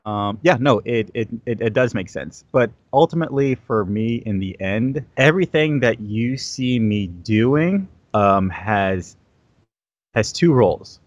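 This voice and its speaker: A man's voice: lowish voice, lively intonation, measured pace, no echo. Rambling man, dull lo-fi sound. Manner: rambling, many repeated words and fillers. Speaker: guest content creator. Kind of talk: casual interview chat.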